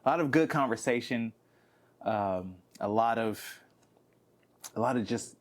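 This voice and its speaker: A young man co-hosting a casual podcast, loose and profane, cracking himself up as he talks. His pitch swings widely, lively and animated from line to line. He keeps a silly, joking manner and swears freely. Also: a little slow. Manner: profane, goofy, laughs often. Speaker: podcast co-host.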